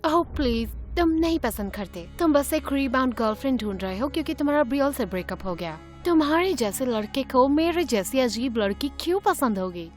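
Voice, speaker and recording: A young woman playing an awkward, earnest teenage schoolgirl telling her own animated story in an expressive, conversational way. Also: high voice, fairly quick, hardly any pauses. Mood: defensive. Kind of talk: dramatised first-person animated story narration. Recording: music behind the voice.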